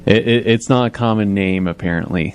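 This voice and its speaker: A man's voice: on the low side, brisk, a little hiss or hum. A man talking casually as a guest on a radio interview.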